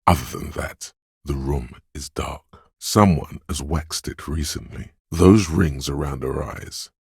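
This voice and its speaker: An actor voicing the observant inner voice of a detective in a game, speaking in second person with wry, dry teasing. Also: male voice, extremely low pitch, measured pace, gravelly, husky, dry recording, heavily acted. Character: inner perceptive voice, observant adult male.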